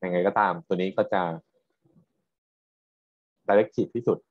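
A man's voice: deep voice, fairly even intonation, slow pace, no echo. A man teaches as a university lecturer. He explains patiently in a technical style, often tagging his points with short questions to students. The recording sounds somewhat dull and muffled. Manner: explanatory, checks understanding with frequent tag questions.

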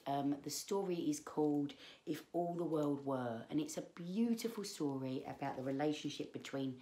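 A woman in her thirties reading aloud to children as a storyteller, expressive, with drawn-out emphasis on key words. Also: extremely low pitch. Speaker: children's book read-aloud presenter. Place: small living room, indoors.

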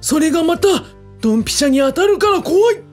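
A woman's voice: very high voice, lively intonation, quick pace, darkish tone, soft background music. An actress in a radio drama playing a flippant, teasing, young-sounding female staffer. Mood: teasing, gleeful.

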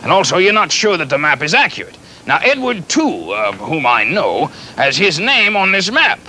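A man playing a kindly, folksy pirate captain in a children's show, halting and stammering as he rambles and repeats himself. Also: fairly high pitch, big pitch swings, bright, forceful tone, a little hiss or hum, heavily acted, rough recording. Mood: musing. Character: folksy, flustered, kindly pirate captain fond of his clumsy pet.

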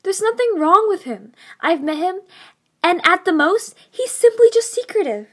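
A confident, high-class teenage sister, played by a woman in an audiobook drama. Her voice is high, and she talks in an assertive, sly, teasing way. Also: lively intonation, moderate pace, fairly bright tone. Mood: exasperated, defending. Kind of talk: audiobook character acting.

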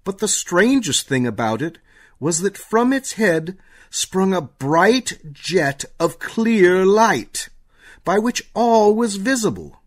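A man narrating a scripted radio play as a storyteller, with measured dramatic delivery. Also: high voice, very animated.

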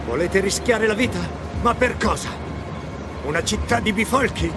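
A middle-aged game villain, a ruthless warlord full of grandiose wrath. His voice sits very high for a man, pompous and menacing, over loud dramatic music. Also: lively intonation, normal speed. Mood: cold contempt. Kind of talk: video game voice acting.

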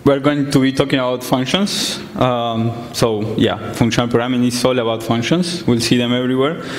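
A man's voice: medium pitch, normal speed, slight background noise. Young man speaking in an echoey hall. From Argentina. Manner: relaxed, plain explanations with frequent fillers. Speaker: conference speaker. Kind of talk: tech conference talk. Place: large conference hall. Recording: echoey hall, some distance from mic.

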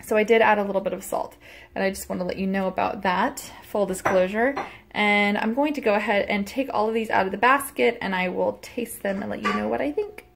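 A woman in her thirties chatting casually as she shows a recipe in her small home kitchen, self-deprecating and quick to laugh, recorded on a phone camera held close. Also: medium pitch, vocal fry, slight background noise. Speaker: cooking vlogger. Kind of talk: casual cooking vlog chat.

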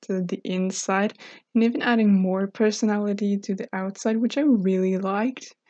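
Young Norwegian woman with mellow voice. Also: medium pitch, no room sound. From Norway. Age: 20s. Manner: friendly, chatty, frequent fillers and light laughs. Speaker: Sims speed-build YouTuber. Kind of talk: casual voice-over narration. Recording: slightly dull, dry home recording.

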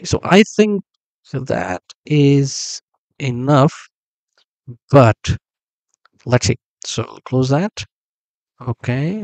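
A man explaining a database build step by step while working on screen, thinking aloud with frequent pauses and small self-corrections. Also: medium pitch, dry recording. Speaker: software tutorial instructor. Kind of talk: screen-recorded tutorial narration.

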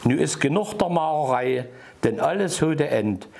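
A man in his sixties with an Erzgebirge Saxon accent, reciting a humorous dialect poem in rhythmic rhyme with a gravelly, husky voice. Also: fairly high pitch, lively intonation, normal speed, darkish tone. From Erzgebirge, Germany. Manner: rhythmic, rhyming delivery read from paper. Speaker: dialect poet. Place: small indoor room by a wood stove.

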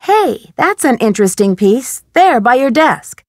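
An actress with an American accent playing a curious, polite, quick-witted young amateur detective in a video game, articulate and inquisitive. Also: female voice, fairly high pitch, very animated, dry recording, studio quality. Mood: curious, friendly.

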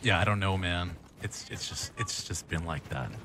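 Man, very low voice, forceful and bright, slangy sweary gaming banter, streamer. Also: normal speed, slight background noise. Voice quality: very deep, booming. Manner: slangy, swearing, playfully showy. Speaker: game streamer. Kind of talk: casual gaming banter and commentary. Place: indoors at a streaming desk.

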